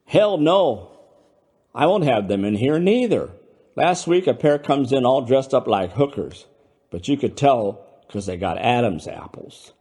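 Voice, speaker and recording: A man voicing a big, blustering, loyal diner owner in a short story, with barking, folksy, rough speech. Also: medium pitch, big pitch swings, measured pace, a little mellow, voice pushed up, full character voice. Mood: gruff indignation. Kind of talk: dramatised short-story reading.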